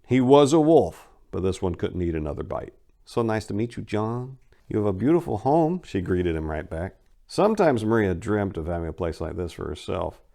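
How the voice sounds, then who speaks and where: A man's voice: low voice, vocal fry, darkish tone. A man narrating a crime short story as a dramatic reading, with theatrical, emphatic delivery.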